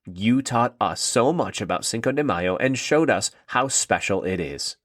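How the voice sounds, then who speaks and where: A man's voice: fairly low pitch, animated, normal speed, a bit bright, dry recording, studio quality. A man voicing a kind, encouraging female schoolteacher in a children's story. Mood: approving.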